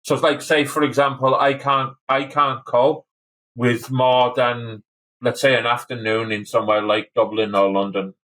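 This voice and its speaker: A man speaks as a podcast guest at a middling pitch. He talks haltingly, stopping and repeating words as he gathers his thoughts. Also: little pitch movement, a bit bright. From North Wales. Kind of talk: casual conversation.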